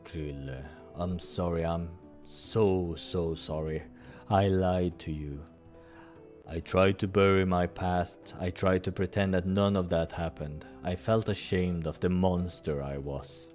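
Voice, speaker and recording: Man voicing a slow, low, remorseful bear. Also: fairly even intonation, darkish tone, faint music behind. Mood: remorseful, pleading. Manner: halting, pleading. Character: remorseful big fallen bear, male, gentle and ashamed.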